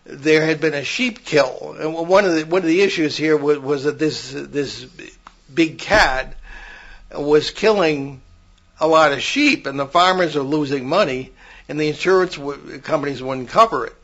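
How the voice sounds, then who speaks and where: A man's voice: a little high, moderate pace, a bit bright, lo-fi sound. An older man co-hosting a paranormal podcast, telling long stories with frequent restarts in casual chat. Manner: rambling, many restarts, storytelling.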